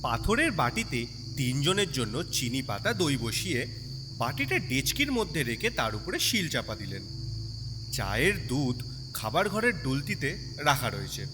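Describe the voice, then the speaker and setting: Expressive male storyteller in deep reverb. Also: unhurried, noisy background. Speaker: story narrator. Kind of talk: expressive scripted story narration. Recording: long reverb over music bed. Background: music and cricket sounds.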